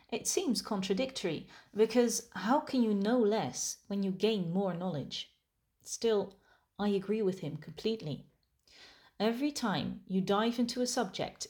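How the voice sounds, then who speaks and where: A woman whose first language is Dutch, a musician giving a calm, thoughtful scripted monologue. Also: medium pitch.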